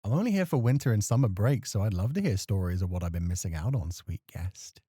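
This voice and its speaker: A man's voice: lowish voice, expressive, fast talker, breathy edge, dry recording, studio quality. A man playing a gracious, teasing professor moonlighting as a club host in a flirty ASMR roleplay. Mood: playful challenge. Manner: teasing, playful, softly courteous. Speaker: voice actor.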